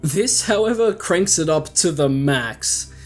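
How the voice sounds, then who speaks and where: A man's voice: fairly high pitch, lively intonation, slow pace. A man hosting a video channel, giving casual commentary in a conversational, wry way with frequent self-interrupting asides. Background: background music.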